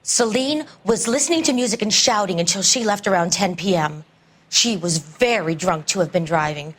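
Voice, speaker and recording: A woman playing a prim, gossipy 1940s mother and neighbor in a crime game, measured and disapproving. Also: mid-range voice, expressive, fairly bright tone, breathy edge. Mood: prim, confiding. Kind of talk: period crime game acting.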